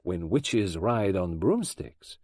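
A man's voice: sits low, very animated, slow, darkish tone, no echo, clean studio sound, full character voice. A man playing a precise, formal old detective. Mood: measured. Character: fussy, precise, elderly foreign male detective.

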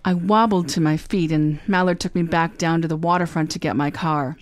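A woman's voice: sits low, fairly even intonation, brisk, loud music behind the voice, lightly acted. A woman playing a wisecracking lady private eye. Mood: narrating. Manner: dry, wry first-person hardboiled narration. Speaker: narrator and lead detective. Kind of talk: 2009 re-creation of 1950 radio drama. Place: recorded live, San Francisco.

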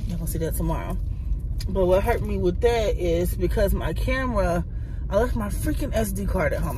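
A woman in her thirties chatting casually to her viewers from a parked car, calling them y'all. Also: mid-range voice. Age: 30s. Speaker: vlogger. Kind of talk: casual chat to camera. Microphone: phone or camera on dashboard. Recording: noisy car interior.

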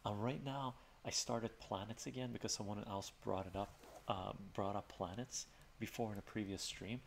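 A middle-aged man, Armenian by birth, chats in a relaxed, rambling way with fillers, as a livestream host in his home study. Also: fairly low pitch, normal speed, gravelly, slight background noise. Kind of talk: casual soft-spoken chat with viewers.

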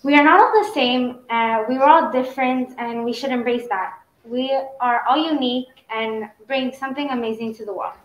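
Girl student, prepared answer, high voice, echoey classroom. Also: moderate pace. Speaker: student guest. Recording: echoey, dull classroom sound.

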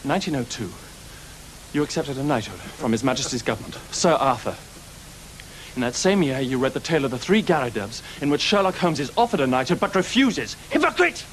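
A man's voice: mid-range voice, very animated, brisk, a little hiss or hum, lightly acted, poor audio. A man playing an imperious, accusing Victorian detective. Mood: scornful, vengeful. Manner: theatrical, prosecutorial, biting. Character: accusing, wounded, imperious fictional detective confronting his author. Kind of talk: radio drama acting.

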